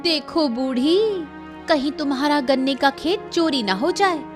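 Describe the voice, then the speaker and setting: A woman voicing a spiteful, sharp-tongued village woman in a cartoon story, taunting and nagging. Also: high voice, expressive, unhurried, over music. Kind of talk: Hindi cartoon story voice acting.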